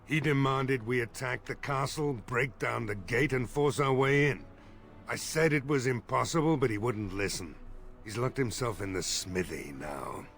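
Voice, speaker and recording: An actor playing a weary, worried village mayor in a fantasy game, with game music behind him. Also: male voice, medium pitch, moderate pace, a bit bright, close to an everyday voice. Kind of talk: video game voice acting.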